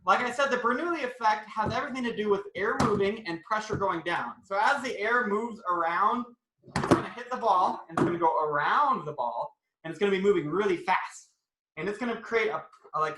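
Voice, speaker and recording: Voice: medium pitch, pushed and bright. An informal, playful science presenter chatting to children during a live online demonstration, recorded in a roomy indoor space. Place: indoor room, live online video call. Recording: roomy echo.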